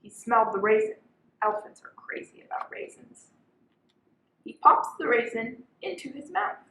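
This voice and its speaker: A woman's voice: a little high, lively intonation, moderate pace, a bit bright. A young woman reading a children's picture book aloud as a volunteer storyteller. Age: young adult. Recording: roomy, echoing sound.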